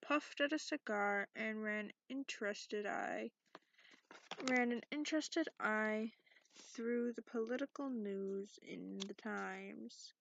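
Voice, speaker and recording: A woman playing a sarcastic, cranky dragon in a soft-spoken ASMR bedtime reading, recorded close up in lo-fi. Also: mid-range voice, takes it slowly, a little hiss or hum. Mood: drowsy storytelling. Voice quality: creaky. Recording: dull lo-fi close dry recording.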